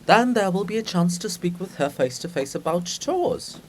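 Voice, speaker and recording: A man's voice: sits high, animated, moderate pace, slight background noise, voice pushed up. A man voicing a scheming, fussing male statue of a statesman in a play reading, with a wheedling, explanatory way of talking. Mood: scheming, conspiratorial.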